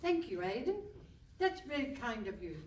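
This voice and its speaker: A woman's voice: on the high side, sing-song, normal speed. A woman playing a brusque, dry-witted, impatient police detective inspector in a comic radio play. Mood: dry, brisk. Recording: live hall stage, distant mic, faint noise.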